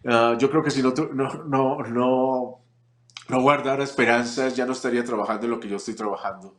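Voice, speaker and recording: A man from Chile, a family constellations teacher, answering interview questions reflectively, with frequent pauses and restarts. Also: medium pitch, steady tone, fairly bright tone, reverberant. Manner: reflective, many fillers and restarts. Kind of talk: relaxed interview conversation.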